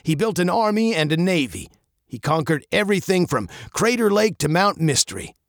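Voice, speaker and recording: A grim old sea captain from a storybook adventure, voiced by a male narrator. He speaks gravely, like a man recounting old family legends, with an animated rise and fall. The sound is dry and near. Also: high-pitched, moderate pace, no echo, pitched above the performer's own voice, heavily acted.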